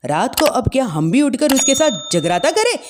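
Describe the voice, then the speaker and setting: A woman's voice: high voice, big pitch swings, normal speed, very noisy. A woman voicing an exasperated elder brother-in-law who complains firmly and is plainly fed up.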